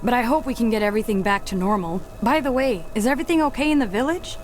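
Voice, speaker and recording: A woman's voice: fairly high pitch, quick pace, lightly acted. A woman playing a small, plucky female cartoon Smurf in a video game cutscene, with music behind. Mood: earnest, concerned.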